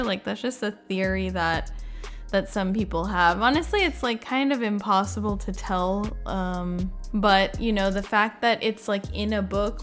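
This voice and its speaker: A woman giving casual, chatty gaming reaction commentary in an informal, tentative way, leaning on filler words. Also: mid-range voice. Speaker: gaming video creator, host. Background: background music.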